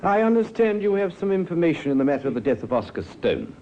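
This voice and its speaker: An actor playing a brisk, wry, confident police inspector in a 1950s radio crime drama. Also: male voice, on the high side, big pitch swings, dark tone, lo-fi sound, slight background noise.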